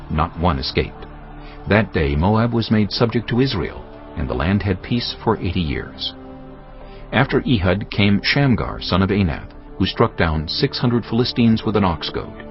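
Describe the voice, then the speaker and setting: Deep, dramatic male narrator. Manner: measured, solemn storytelling. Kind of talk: dramatic scripted Bible narration. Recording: dull audio with music behind. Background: music.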